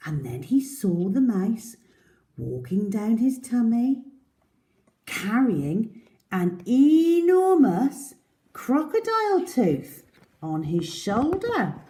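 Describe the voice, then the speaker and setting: Mellow, slow, expressive woman storyteller. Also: fairly high pitch. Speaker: children's storyteller. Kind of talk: expressive picture-book reading for young children.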